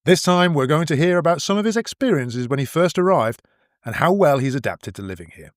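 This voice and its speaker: A man's voice: on the high side, big pitch swings, a little fast, no room sound, studio quality. Playful male podcast host. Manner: clear, playful introductions. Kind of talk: podcast hosting for English learners.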